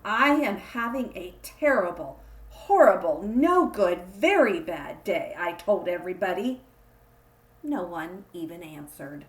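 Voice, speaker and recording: A woman reading a children's picture book aloud, with expressive emphasis on the repeated refrain. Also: lowish voice, a little slow, reverberant, rough recording. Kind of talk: read-aloud storytelling for kids.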